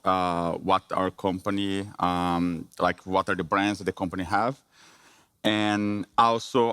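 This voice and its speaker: Brazilian-accented man giving a halting talk. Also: on the low side, a bit bright, no room sound, studio quality. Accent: Brazilian Portuguese. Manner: halting, many fillers and restarts. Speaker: conference presenter. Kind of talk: business conference presentation.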